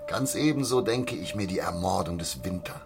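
An actor in a radio play voicing an obsessive small-town butcher, insistent and conspiratorial, dwelling on grim details. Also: male voice, deep voice, expressive, moderate pace, creaky, loud music behind the voice. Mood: obsessive, explaining. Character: obsessive, gruesome small-town butcher spreading hateful rumours. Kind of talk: radio drama acting.

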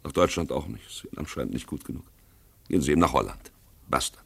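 An actor playing a stern, condescending middle-aged police commissioner in a German radio play, brusque, officious and dismissive. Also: male voice, extremely low pitch, expressive, quick pace, gravelly, fairly bright tone, breathy edge, heavily acted. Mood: lecturing, dismissive.

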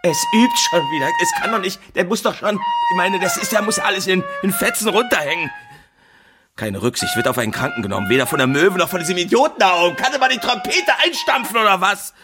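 A man's voice: high voice, lively intonation, fast, over loud music. An actor playing a bedridden, bitter, abusive sick man who grumbles and rants in a radio drama. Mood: irritated grumbling. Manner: grumbling, cantankerous, ranting. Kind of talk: German radio drama acting.

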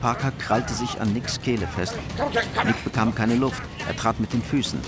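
A man's voice: mid-range voice, normal speed, close to an everyday voice. A man narrating a crime radio drama in a sober, scripted storytelling style. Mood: sober, suspenseful. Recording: studio radio drama under loud music. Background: loud music.

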